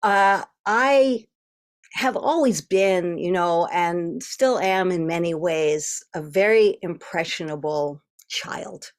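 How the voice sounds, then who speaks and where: A woman from Connecticut telling a personal story over a video call, gentle and descriptive. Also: lowish voice, takes it slowly. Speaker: storyteller and co-host.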